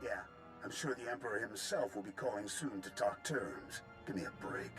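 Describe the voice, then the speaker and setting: An actor playing a smug, sardonic renegade soldier villain in a video game. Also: male voice, on the low side, moderate pace, music in the background, lightly acted. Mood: mocking, smug. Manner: sardonic, folksy.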